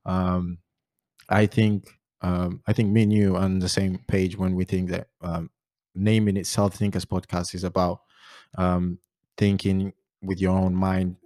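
Portuguese guy in his 20s rambling on a podcast, kinda low voice, um-ing a lot, dry close sound. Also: dry recording. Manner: relaxed, rambling, many fillers. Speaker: podcast host. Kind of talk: casual chat.

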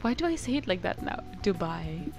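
A woman's voice: fairly low pitch, very animated, moderate pace, gravelly, over music. A young woman co-hosting a podcast in casual chat, giggly and rambling, breaking into playful rants. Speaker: podcast co-host.

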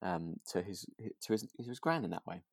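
Man, casual co-host chat, highly varied pitch, dry recording, thoughtful halting phrasing. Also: expressive, vocal fry, darkish tone, dry recording. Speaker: podcast co-host. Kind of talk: relaxed casual chat about a radio drama.

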